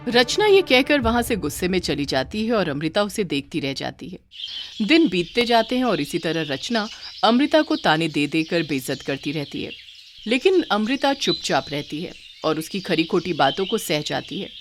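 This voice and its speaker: Expressive woman narrating a story. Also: fairly low pitch, moderate pace, slight background noise.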